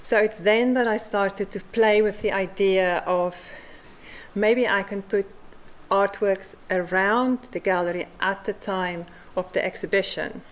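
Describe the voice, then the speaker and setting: A middle-aged woman with a South African accent giving a measured conference talk about her mosaic art, pausing often with "um". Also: medium pitch, fairly even intonation, low-quality recording. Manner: slow and measured, with frequent "um" pauses. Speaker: mosaic artist presenting at a forum. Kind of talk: conference presentation.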